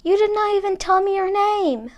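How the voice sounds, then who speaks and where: Woman voicing a high-pitched, earnest young prince. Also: animated, moderate pace. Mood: startled, pleading. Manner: earnest, pleading. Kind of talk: storybook character voice.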